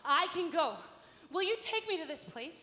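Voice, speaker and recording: Little Badger, a woman playing a gentle young blind hero. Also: very high-pitched, lively intonation, normal speed, long reverb tail, exaggerated voice. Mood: determined. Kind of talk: live stage play.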